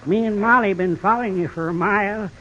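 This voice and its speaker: A very high-pitched, cackling old mountain coot, prickly and possessive, with a rustic Western American drawl. His bright voice comes through a dull vintage radio recording, full of crazy old-timer bluster. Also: brisk. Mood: gleeful, boastful. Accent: rustic American West. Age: older. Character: loony old territorial prospector with a pack mule.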